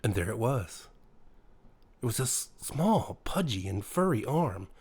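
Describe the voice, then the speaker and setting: A man's voice: mid-range voice, very animated, measured pace, darkish tone, breathy edge. A man narrating a horror bedtime story in a dramatic storytelling style. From USA. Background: music.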